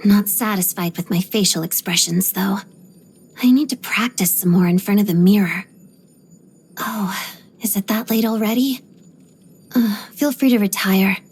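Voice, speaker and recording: Casting: a stoic young female ballerina for a video game; soft, husky-breathy voice, restrained and precise, introspective monologues. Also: medium pitch. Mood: composed, dutiful. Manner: measured, restrained, articulate. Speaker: video game character voice actor.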